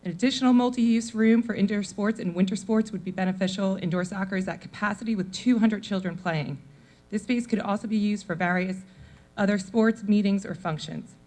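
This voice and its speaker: A woman in her forties, a mother of four, briskly reads a prepared public comment at a town meeting, running through lists of needs. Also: mid-range voice, a little mellow. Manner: brisk, reading from notes with list-like delivery.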